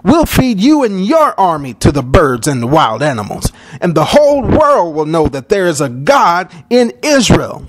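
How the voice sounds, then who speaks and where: Man acting a teenage shepherd boy, high voice, lively swings, earnest, defiant, brave, storybook character. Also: normal speed, hardly any pauses. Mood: bold, defiant.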